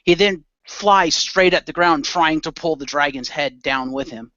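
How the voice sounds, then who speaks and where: A man's voice: on the high side, a little slow, pushed and bright, dull audio, slight background noise. A man improvising third-person action narration for his character in an amateur radio play. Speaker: player narrating own character.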